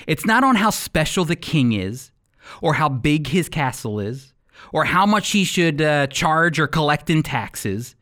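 American male Bible teacher, slightly high register, very lively intonation, emphatic and deliberate sermon delivery. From United States. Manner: emphatic, deliberate phrasing with rhetorical questions. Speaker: Bible teacher and radio program host. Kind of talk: sermon-style Bible teaching with scripture reading.

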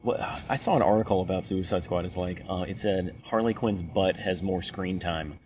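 A man's voice: low voice, normal speed, darkish tone. A man chatting casually about films, rambling with many restarts and fillers. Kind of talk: casual movie chat. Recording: muffled lo-fi recording with faint hiss.

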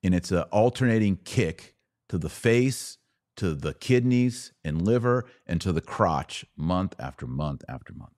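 A man's voice: low-pitched, expressive, unhurried, darkish tone, dry recording, clean studio sound. An American man giving opinionated solo podcast commentary, sarcastic and emphatic, repeating phrases for effect.

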